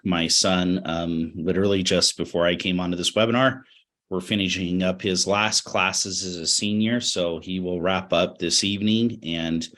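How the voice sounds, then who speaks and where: A middle-aged American man with a low-pitched voice, a little raspy from a cold. He is a webinar guest reflecting on his work, choosing his words in a measured, halting and earnest manner. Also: fairly bright tone. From Utah, United States. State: has a cold. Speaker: interview guest, education professional.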